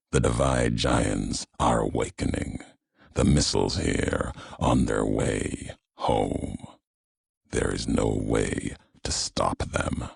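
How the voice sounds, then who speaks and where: Rock-bottom deep, gravelly, brooding wasteland antagonist. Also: male voice, no echo, studio quality, exaggerated voice. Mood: grim, foreboding. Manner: slow, solemn, terse, cryptic pronouncements. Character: grim, vengeful male wasteland courier, brooding philosophical antagonist. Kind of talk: video game character dialogue.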